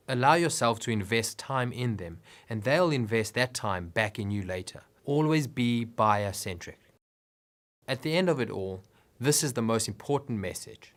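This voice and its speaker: A man's voice: mid-range voice, a bit bright. A man reading scripted business advice narration in an instructive way with emphatic phrasing.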